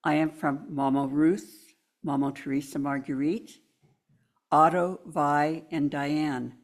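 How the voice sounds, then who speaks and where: Woman in her seventies, low voice, slow, warm poem reading at a church pulpit. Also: fairly even intonation. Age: 70s. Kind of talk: reading a personal poem aloud. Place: church sanctuary.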